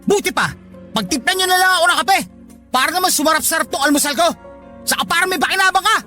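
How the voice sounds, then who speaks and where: A very high, sharp Filipino voice plays a spoiled, bullying adult child in a radio drama. The delivery is rude, demanding and combative, with faint music underneath. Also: female voice, quick pace. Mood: angry, threatening. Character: insolent, lazy adult child bullying their elderly mother.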